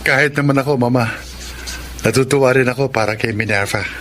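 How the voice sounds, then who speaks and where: A man's voice: medium pitch, fairly quick, fairly bright tone, a little hiss or hum, lightly acted. A man acting a lovestruck adult son in a Filipino radio drama, with a Filipino accent. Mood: pleased. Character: lovestruck, hesitant adult son, long single.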